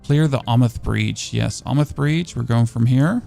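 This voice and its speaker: A man's voice: medium pitch, normal speed, gravelly, mellow, faint music behind. A man streaming a game in casual chat, rambling and answering chat questions on a dynamic studio microphone. His first language is German.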